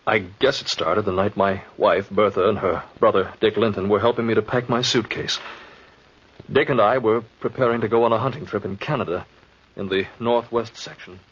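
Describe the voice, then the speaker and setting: Earnest, troubled husband in old radio drama; lowish, husky voice, muffled recording. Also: male voice, fairly even intonation, normal speed. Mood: hesitant, troubled. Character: troubled husband on trial, recounting his hunting trip. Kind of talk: 1940s radio drama acting.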